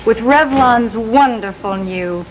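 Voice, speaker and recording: A woman's voice: fairly high pitch, slow. A woman delivering a scripted 1950s television advertising testimonial as a commercial spokeswoman. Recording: muffled, hissy 1950s television soundtrack.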